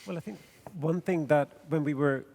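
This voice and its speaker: A man from Sweden, co-writer of a film, answering on a festival panel in a thoughtful, halting way full of ums and restarts. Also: fairly high pitch, gravelly, darkish tone. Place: festival stage in a large hall. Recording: long reverb of a large hall.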